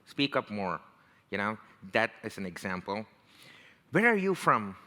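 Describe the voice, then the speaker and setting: A man's voice: lowish voice, very animated, a little slow, sharp tone, big-room sound. A man from India with an Indian accent, giving a conversational talk and often checking in with "right?" and "you know". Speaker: speaker. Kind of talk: motivational talk.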